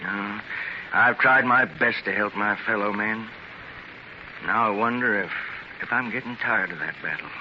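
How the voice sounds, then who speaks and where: A man's voice: fairly low pitch, measured pace, lightly acted. An actor playing a determined male industrialist in his seventies, earnest and weary yet defiant, in 1930s radio drama style. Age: 70s. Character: aging determined male industrialist, stubborn fighter. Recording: dull hissy 1930s transcription disc.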